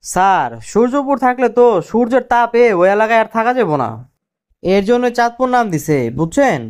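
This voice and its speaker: A man voicing a cheeky, quick-witted cartoon job candidate who explains things deferentially in colloquial Dhaka-accented Bangladeshi Bengali. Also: extremely high pitch, lively intonation, clear, resonant tone. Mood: confidently explaining. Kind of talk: comic cartoon dialogue.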